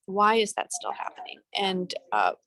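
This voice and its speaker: A woman's voice: medium pitch, sing-song, moderate pace, a bit bright, no echo. A middle-aged woman talking earnestly on a video call from a busy event venue, with some restarts. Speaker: panelist on a talk show. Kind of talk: casual video-call talk.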